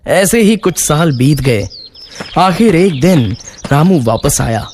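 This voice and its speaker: A man's voice: a little high, expressive, slow, a little mellow, slight background noise. A man narrating a scripted children's moral story as its storyteller. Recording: faint music bed.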